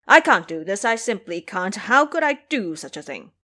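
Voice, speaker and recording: A woman's voice: medium pitch, sing-song, normal speed, bright, forceful tone. A woman playing a foolish, eager male pig-monster in an audio drama, pleading and blustering. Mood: protesting.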